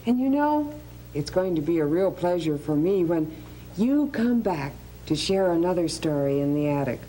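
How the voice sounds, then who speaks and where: A woman's voice: fairly low pitch, very animated, moderate pace, mellow, poor audio. A woman hosting a scripted educational programme in a courteous, inquisitive, conversational way. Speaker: host. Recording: hum and faint background noise.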